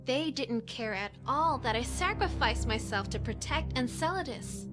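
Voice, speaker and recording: An actress playing a newly awakened young angel, earnest and questioning, in a dramatic visual novel game. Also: female voice, a little high, lively intonation, moderate pace, lightly acted. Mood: hurt, resolute. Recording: loud music behind the voice. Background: music.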